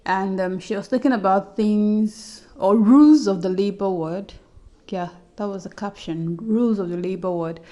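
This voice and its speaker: A woman's voice: medium pitch, moderate pace, warm tone. A young adult woman casually talking to her listeners in a personal audio journal, chatty and often repeating herself. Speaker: podcast host.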